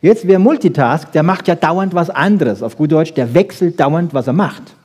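A man's voice: fairly high pitch, animated, normal speed, darkish tone, breathy edge. A man giving a colloquial science lecture, emphatic, with rhetorical questions and frequent little check-ins to his listeners.

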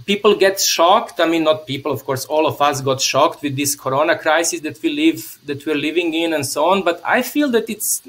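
A man from Serbia, in his thirties, speaks over a video call from a small room at home. His voice sits a bit high for a man, and he wanders through his thoughts with restarts and small laughs. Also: moderate pace, hardly any pauses, a bit bright. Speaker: guest, theatre artist. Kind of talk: casual conversation. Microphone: video call webcam.

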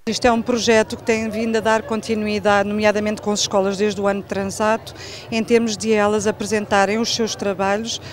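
A woman's voice: medium pitch, flat delivery, fairly quick. A woman with a European Portuguese accent giving a formal statement about the event into a handheld mic. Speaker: organizer. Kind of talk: interview statement.

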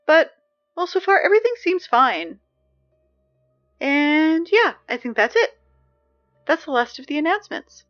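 A woman's voice: sits high, sing-song, a little slow, long pauses, muffled recording, soft background music. A woman playing a chatty young school announcer who keeps getting flustered, in an audio drama. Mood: chipper. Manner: chatty, growing flustered and panicky.

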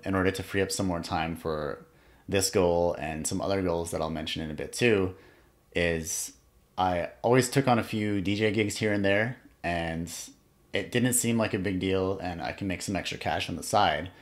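A young man in his twenties hosting a solo podcast, talking in a reflective, earnest way with pauses as he thinks aloud, in a small room at home. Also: deep voice. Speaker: podcast host. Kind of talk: solo motivational podcast monologue.

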